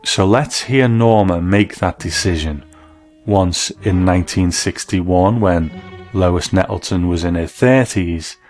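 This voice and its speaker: A man's voice: low-pitched, slow pace, darkish tone. A man presenting a scripted, explanatory documentary narration as host. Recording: voice-over with faint music behind. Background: faint music.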